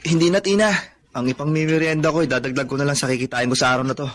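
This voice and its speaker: A man's voice: a little high, fairly even intonation, very fast, fairly bright tone, slight background noise, lightly acted. A Filipino man playing a devoted, long-suffering son in a radio drama, earnest, plaintive and respectful. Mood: hurt, anguished. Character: devoted, suffering, long-abused son who scavenges for junk.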